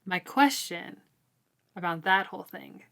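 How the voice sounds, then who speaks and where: A woman co-hosting a casual, informal book discussion, talking in a halting, conversational way. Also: fairly low pitch, animated, gravelly, a bit bright. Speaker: co-host of a book read-along discussion.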